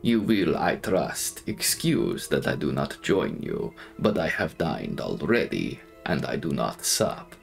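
A man voicing a courtly, sinister aristocratic vampire count in a gothic novel, speaking formally and with slow, drawn-out deliberation. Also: extremely low pitch, big pitch swings, gravelly, soft background music, pitched below the performer's own voice, full character voice. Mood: gracious, formal. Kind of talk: dramatic audiobook reading of gothic novel.

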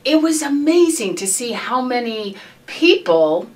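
Animated woman in an interview. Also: fairly high pitch, unhurried. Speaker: actress being interviewed. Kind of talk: interview talk. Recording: echoey room, distant mic, music behind.

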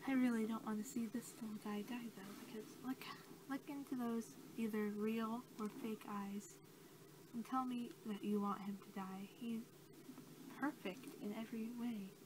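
A young woman doing soft-spoken ASMR roleplay as a scientist, talking in a casual, rambling, hesitant way. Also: on the high side, steady tone, normal speed. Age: young adult. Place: small cluttered room indoors. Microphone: camera mic at about arm's length. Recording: clearly noisy amateur camera audio.

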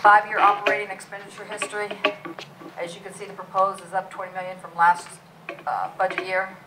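A middle-aged woman police chief talks through a budget at a council meeting, loud and plain-spoken, with frequent ums and uhs. Her voice sits at a middling pitch for a woman. A faint hum of room noise stays behind her on the meeting-room mic. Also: little pitch movement, brisk. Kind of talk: public budget presentation.

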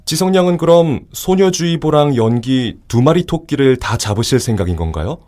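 An actor playing a chatty interviewer who flatters the young singers he questions. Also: medium pitch, normal speed, faint music behind, close to an everyday voice. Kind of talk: radio drama acting.

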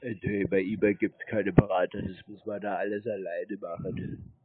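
A low, husky-voiced man chats over a muffled phone connection. He plays naive and keeps a deadpan delivery. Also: moderate pace. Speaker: prank call recipient stringing along a scammer. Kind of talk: phone conversation. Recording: muffled narrowband phone audio.

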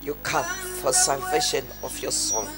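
A woman's voice: extremely low pitch, normal speed, loud music behind the voice. A middle-aged woman pastor making an altar call on an outdoor stadium stage, repeating pleas to come forward into a handheld mic. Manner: pleading, repetitive calls to come forward. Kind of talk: altar call sermon with interpreter.

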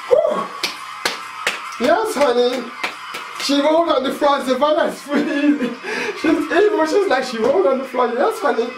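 A woman's voice: sits high, expressive, measured pace, noisy background. A young woman hosting a reaction video, squealing, whooping and laughing as she watches a performance indoors. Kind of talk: excited casual reaction commentary. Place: salon-style room indoors. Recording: roomy, distant mic, loud music playing. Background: music from the clip being watched.

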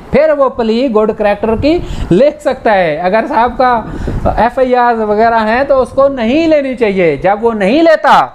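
Male law lecturer, Pakistani accent, conversational delivery with English legal terms, noisy recording. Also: very high voice. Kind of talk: informal lecture explaining statute articles with examples.

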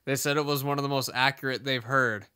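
A young man co-hosting a casual podcast, quick and joking and swearing freely, in a room lined with shelves. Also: medium pitch, steady tone, pushed and bright, clean tone. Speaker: podcast co-host. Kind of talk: casual chat. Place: room with shelves of movies and comics.

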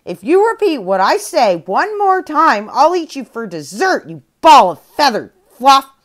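A woman's voice: a little high, sing-song, a little slow. A woman voicing a sleepy, grumpy female panda bear in a storybook tale, with a whiny, eager way of talking. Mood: angry.

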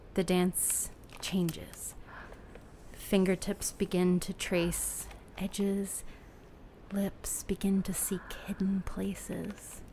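An actress playing a reflective, anguished raft dweller from an ocean world in an audio drama, halting and often hushed. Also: female voice, lowish voice, a little hiss or hum.

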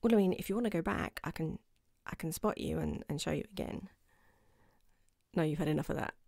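A woman's voice: low voice, fairly quick, gravelly, a little mellow, dry recording, studio quality. A woman playing a playful, affectionate girlfriend in an intimate ASMR roleplay, with a teasing manner. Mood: reassuring, affectionate. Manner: teasing, giggly, sometimes dropping to a whisper. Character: playful affectionate new girlfriend, proud martial arts instructor. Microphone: close microphone in a dry room.

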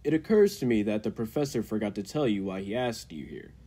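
A man playing an earnest, persuasive laboratory assistant in 1940s radio style, with a husky voice. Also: on the low side, expressive, a little fast, mellow. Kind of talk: 1940s radio drama acting.

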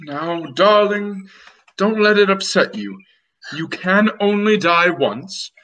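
A young man playing an anxious, self-important groom in melodramatic, drawn-out old-time radio mystery style. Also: high voice, expressive, sharp tone, a little hiss or hum, full character voice, rough recording. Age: young adult. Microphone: video call.

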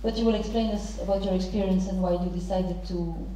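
A middle-aged woman moderating a panel, introducing guests and posing questions formally in halting, deliberate phrases. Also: lowish voice, steady tone, mellow, a little hiss or hum. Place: large theatre stage. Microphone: handheld microphone through hall speakers. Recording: very echoey theatre PA, distant, muffled.

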